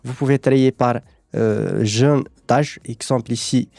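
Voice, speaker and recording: A man presenting a software tutorial, explaining things step by step. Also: mid-range voice, fairly even intonation, slow, creaky, no echo.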